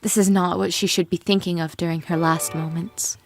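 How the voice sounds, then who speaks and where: A woman serves as narrator of a dramatic fan fiction reading. Her voice is fairly low for a woman. She reads from a script with music playing faintly underneath. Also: steady tone, fairly quick. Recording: music bed with birdsong behind.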